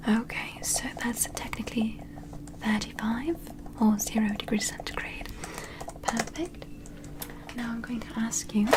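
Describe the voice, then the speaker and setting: A woman playing a gentle, attentive alien medical examiner in an ASMR roleplay, whispering slowly and soothingly in a breathy, reassuring way. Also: fairly high pitch, lightly acted. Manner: slow, soothing, reassuring, procedural.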